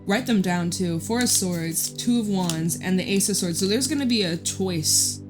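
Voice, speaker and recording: A woman giving a casual tarot reading in a loud, chatty voice, rambling off into side stories. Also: mid-range voice, normal speed. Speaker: tarot reader. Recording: guitar music playing behind. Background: guitar music.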